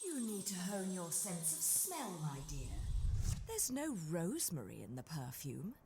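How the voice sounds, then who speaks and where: A self-assured witch from a video game, sultry and condescending. Her pitch moves freely as she teases, and the sound is echoey with clear noise behind it. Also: very animated, a little slow. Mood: coy teasing. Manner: coy, mocking, condescending. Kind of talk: theatrical video game voice acting.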